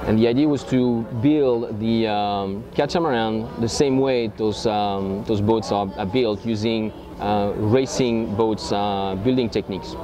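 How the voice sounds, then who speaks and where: A man's voice: on the low side, measured pace, few pauses, noisy background. A man with a French accent, answering interview questions hesitantly with many ums and uhs, outdoors at a marina. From France. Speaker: boat owner.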